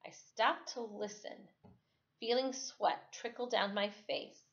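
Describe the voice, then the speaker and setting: Female storyteller for children's fiction read-aloud: medium pitch, dramatic, measured phrasing, muffled low-fidelity recording. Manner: dramatic, deliberate phrasing with acted-out exclamations. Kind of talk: expressive first-person story read-aloud. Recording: dull lo-fi recording.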